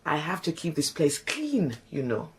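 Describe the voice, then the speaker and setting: A woman's voice: deep voice, sing-song, a little slow. A proud older housekeeper in a radio play , acted by a woman, theatrical and easily offended. Her voice swoops widely as she protests. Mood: haughty. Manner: theatrical, indignant, wailing. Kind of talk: radio drama acting.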